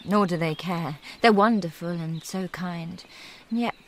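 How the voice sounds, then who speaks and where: A woman's voice: lowish voice, unhurried, slight background noise, natural voice. An actress playing an earnest, wistful young married woman in a radio drama. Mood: wistful, reflective. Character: wistful, earnest young married Englishwoman among convent nuns.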